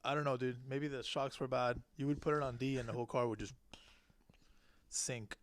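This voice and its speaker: A man's voice: medium pitch, fairly even intonation, normal speed. A man from Cuba talking as a podcast guest, telling personal stories in casual, informal language. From born in Cuba, left as a small child. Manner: slangy, informal. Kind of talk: casual chat, personal storytelling.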